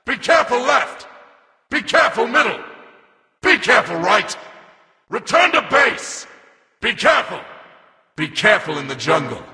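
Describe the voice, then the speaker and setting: An actor playing a grim, battle-hungry robotic reaper warrior in a video game, barking short, commanding callouts. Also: male voice, very high voice, vocal fry, big-room sound, full character voice. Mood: grim, controlled. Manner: forceful, barked short commands.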